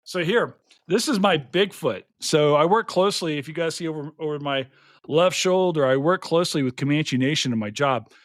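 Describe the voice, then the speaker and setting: Florida guy hosting a podcast stream, kinda high voice, jokey and rambly, dry sound. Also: moderate pace, dry recording. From Florida, USA. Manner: joking, chatty, self-deprecating. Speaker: podcast host. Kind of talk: casual livestream chat.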